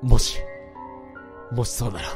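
A man's voice: unhurried, music in the background, close to an everyday voice. An actor playing a tense office worker who narrates his own inner thoughts in a radio drama. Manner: tense, brooding, rising to urgent shouts. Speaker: narrator and protagonist.

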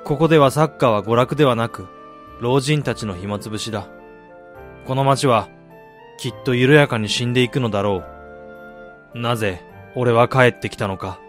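A man's voice: mid-range voice, normal speed, long pauses, faint music behind, lightly acted. An actor playing a weary, disillusioned man in a radio drama, with a brooding, self-deprecating way of talking. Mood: brooding, resigned. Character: weary, disillusioned man back in his dying hometown. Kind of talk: radio drama first-person narration and dialogue.

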